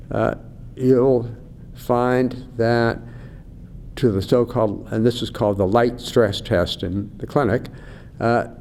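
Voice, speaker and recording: An older man, an eye researcher, explaining slowly and carefully in an interview, acting out doctors and patients as he goes. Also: vocal fry, darkish tone. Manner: measured, acts out doctor and patient. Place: conference venue. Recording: faint low rumble behind.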